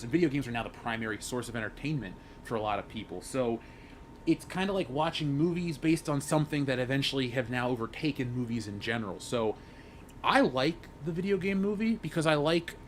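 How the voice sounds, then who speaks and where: A man's voice: lively intonation, fast. A man giving his opinions casually as a member of a discussion panel. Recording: faint rumbling background noise.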